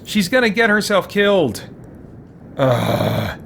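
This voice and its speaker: A man giving casual let's play commentary, muttering to himself as he thinks out loud, with mild exclamations. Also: high voice, sing-song, slow pace, gravelly. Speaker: gaming video host. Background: game music.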